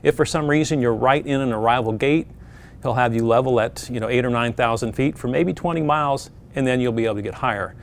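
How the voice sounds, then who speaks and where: A man's voice: mid-range voice, fast, gravelly. A middle-aged man, an air traffic controller, giving plain practical advice to pilots with frequent fillers. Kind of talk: explaining procedures to pilots.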